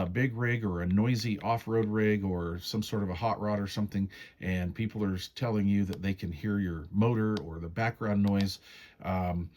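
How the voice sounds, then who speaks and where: A man's voice: on the low side, a little mellow. A man giving a casual, hands-on product walkthrough in a folksy, step-by-step, conversational way. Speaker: radio reviewer demonstrating menu settings.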